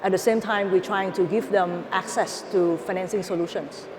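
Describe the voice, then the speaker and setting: A woman's voice: medium pitch, steady tone, normal speed. A woman in her thirties with a Vietnamese accent, giving a prepared stage presentation as a panelist. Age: 30s. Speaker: panelist, venture capitalist. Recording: reverberant hall with clear ambient noise.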